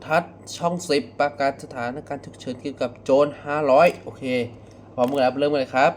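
A young man talking casually in a reaction vlog, excitable and full of exclamations. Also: mid-range voice, fast talker. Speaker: video creator reacting to a horror clip. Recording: faint background noise.

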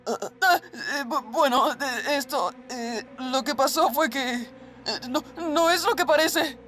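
A man's voice: very high voice, slow pace, pushed and bright, heavily acted. A man with a Latin American accent playing a timid, stammering teenage gamer in a fan-dubbed webtoon. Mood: panicked, stammering. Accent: Latin American Spanish. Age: young adult. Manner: stammering, breathless, hesitant. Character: timid, panicky teenage boy, a reclusive gamer. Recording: faint background noise.

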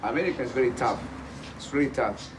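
Middle-aged hairdresser. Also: male voice, medium pitch, fast, noisy background. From Ecuador. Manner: friendly small talk, often agreeing and repeating. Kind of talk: casual chat. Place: small hair salon indoors.

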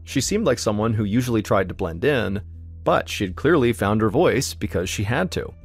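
A man hosting a podcast, telling a true story in steady, suspenseful scripted narration. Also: on the low side, moderate pace, husky, faint music behind.

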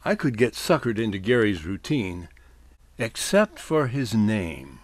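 A man's voice: lowish voice, very animated, measured pace, dry recording. A man narrating a scripted humorous essay in a wry, literate storytelling manner.

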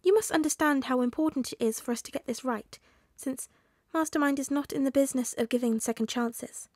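Lady doing little male animal boss for an audiobook, high pitched, polite n formal, measured. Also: a little mellow, no room sound, studio quality. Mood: apologetic, grave. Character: polite, earnest, small animal mastermind, male.

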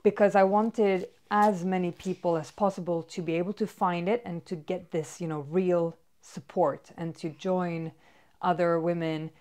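A woman's voice: fairly low pitch, fairly even intonation, normal speed, a little mellow. A woman in her thirties with a Swedish accent, a fitness vlogger chatting casually and upbeat to a camera in a bedroom. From Sweden. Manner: chatty and upbeat, many fillers. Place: bedroom with toys, indoors. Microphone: far camera.

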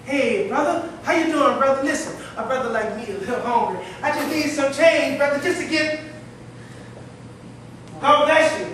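A man's voice: very high voice, moderate pace, fairly bright tone. A man playing a down-on-his-luck homeless beggar, bitter and wry, in a folksy, rambling, theatrical stage monologue. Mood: pleading. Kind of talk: theatrical church drama monologue. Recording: very echoey hall, distant mic, faint noise.

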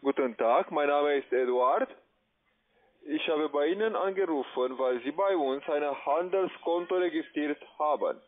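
A man's voice: fairly high pitch, unhurried. A man with a foreign accent, a non-native speaker, making a sales call as a call centre agent. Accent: foreign accent in German. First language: not German. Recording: tinny narrowband phone line.

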